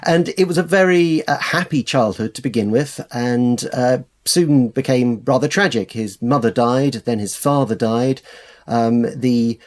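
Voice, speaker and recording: A man's voice: mid-range voice, unhurried. A man with a British accent speaking as a scholarly interview guest, telling stories with enthusiasm and hesitant, repeated words. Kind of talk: conversational interview, storytelling.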